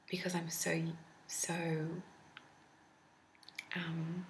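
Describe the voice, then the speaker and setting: An Australian woman whispers close to the mic, her voice sitting a little low. She rambles gently and pauses often on hesitant ums. A steady noise hums behind her. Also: rough recording. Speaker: ASMR creator. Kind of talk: whispered ASMR talking video.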